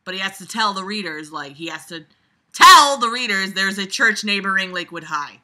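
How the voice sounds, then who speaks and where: A strikingly bright, forceful voice at a middling pitch reads a novel aloud and keeps interrupting it with commentary. The delivery is casual, blunt and sarcastic, full of exasperation and swearing. It moves between muttered asides and loud, emphatic outbursts. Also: animated, fairly quick. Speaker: reader reviewing a book aloud.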